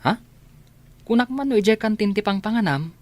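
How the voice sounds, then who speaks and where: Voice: medium pitch, animated, fairly quick, darkish tone, no room sound, natural voice. A performer acting a shy, meek, hardworking grown son in a radio comedy drama. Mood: bashful, flustered.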